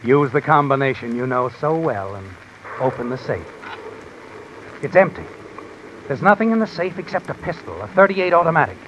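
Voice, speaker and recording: A man playing an ominous, all-knowing suspense narrator, telling the tale deliberately to the guilty character in 1950s radio style. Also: mid-range voice, big pitch swings. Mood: hushed suspense. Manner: hushed, deliberate, dramatic second-person telling. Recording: muffled noisy vintage radio, rain behind.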